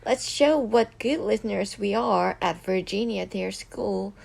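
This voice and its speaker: A woman's voice: mid-range voice, a little slow, gravelly, darkish tone. A woman voicing a theatrical school librarian who tells stories to children. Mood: storytelling. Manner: storyteller-like, theatrical.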